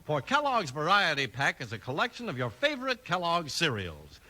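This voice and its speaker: A man's voice: fairly high pitch, wildly swooping pitch, fairly quick, sharp tone. A man with an American accent announcing and reading a sponsor message in 1950s radio style. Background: music.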